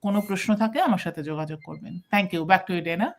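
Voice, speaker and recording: A woman's voice: lowish voice, rapid-fire. A middle-aged woman with an Indian Bengali accent, presenting casually as a guest on a live online talk. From Kolkata, India. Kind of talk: live self-introduction talk.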